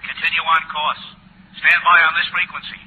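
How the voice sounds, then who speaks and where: A brisk Coast Guard operator in an old radio play. His fairly high voice is formal and clipped, ringing with long reverb on a tinny broadcast. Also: level tone, bright, forceful tone. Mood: brisk, official. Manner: formal, clipped procedure talk. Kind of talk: 1940s radio drama acting. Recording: tinny, echoing, noisy 1940s broadcast.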